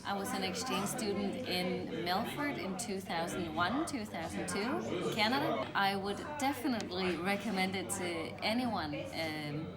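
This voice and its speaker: Woman from Denmark, thirties, enthusiastic testimonial, loud crowd noise behind. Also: medium pitch, measured pace, hardly any pauses. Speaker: former exchange student. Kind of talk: personal testimonial.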